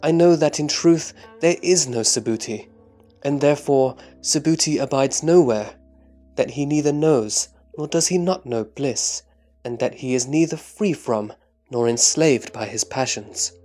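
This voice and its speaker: A man playing an earnest, humble disciple in a scripture audio drama, answering in a reverent, deliberate, formal way. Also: medium pitch, mellow, soft background music, close to an everyday voice. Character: Subhuti, earnest humble male disciple of the Buddha.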